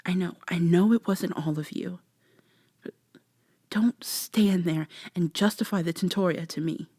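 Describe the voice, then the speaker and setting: A woman playing a guarded, sardonic human woman in a vampire ASMR roleplay, speaking close and breathy, with sarcastic, wary delivery that slips into whispers. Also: lowish voice, measured pace, dark tone, no echo. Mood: defiant, cold.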